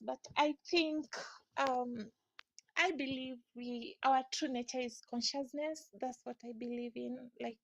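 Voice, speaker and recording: A high-voiced woman speaking English as a second language, her native language being Swahili. She talks haltingly and hunts for words as she explains herself on a call. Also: rough recording. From Swahili-speaking East Africa. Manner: hesitant, searching for words. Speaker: caller. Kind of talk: casual debate call.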